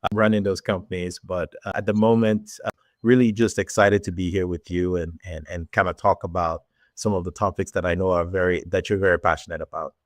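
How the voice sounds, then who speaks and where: A man in his forties speaks with a Nigerian accent, recalling his path in a measured, reflective way. His voice sits low. The sound is dry and close, with no room around it. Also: little pitch movement, no room sound. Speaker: podcast guest, tech executive. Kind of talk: casual interview talk.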